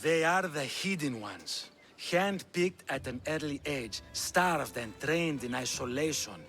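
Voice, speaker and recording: An actor playing a shrewd, proud Spartan king in a video game, speaking with a Greek accent in a deliberate, wry, rhetorical way. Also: on the high side, lively intonation, a bit bright, faint music behind, full character voice. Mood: grave, scornful. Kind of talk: video game cutscene acting.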